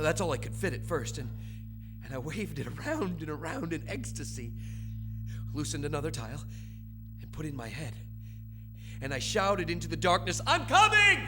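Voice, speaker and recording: An actor playing a rebellious, obsessed son in a theatrical audio drama, speaking fervently with halting repetitions. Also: male voice, on the high side. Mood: ecstatic. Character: young rebellious son, obsessed, confessing his escape. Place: stage before a studio audience. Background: music.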